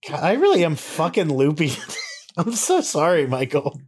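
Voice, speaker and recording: A man's voice: a little high, huge pitch swings, normal speed, breathy edge. A man co-hosting a podcast in a rambling, slangy, sweary way, moving between casual banter and reading aloud. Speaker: podcast co-host.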